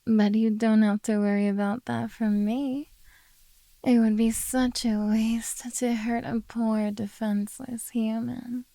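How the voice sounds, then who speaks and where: Woman acting a soft, slow, mellow beastkin monster girl. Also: fairly high pitch, flat delivery, creaky, no echo. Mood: soothing, sly. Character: possessive, coaxing female beastkin monster girl of the woods. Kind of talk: soft, slow ASMR roleplay.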